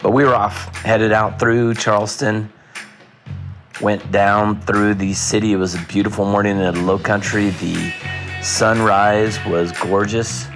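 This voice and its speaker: A man hosting a travel vlog, narrating his own story in a relaxed, conversational way. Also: on the low side, measured pace, faint music behind. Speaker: host. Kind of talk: vlog narration and to-camera talk.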